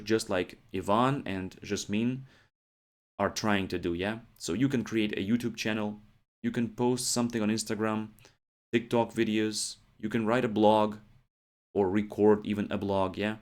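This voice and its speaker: A man's voice: fairly low pitch, steady tone, normal speed, a little mellow. A man, hosting a podcast English lesson and checking in with "yeah?" and "right?". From Ukraine. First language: Ukrainian. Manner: explains steadily, often adds "yeah?" and "right?" checks. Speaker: English teacher, podcast host.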